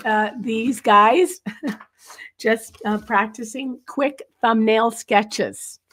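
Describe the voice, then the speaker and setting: A middle-aged woman with a chest cold, hoarse, teaching a live-streamed nature sketching lesson from a room at home, rambling with many ums and uhs. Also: on the high side.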